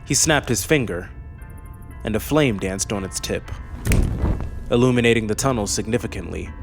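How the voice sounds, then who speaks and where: A man with a fairly low voice narrates a fantasy audiobook from a script. Faint music plays beneath his reading. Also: expressive, normal speed.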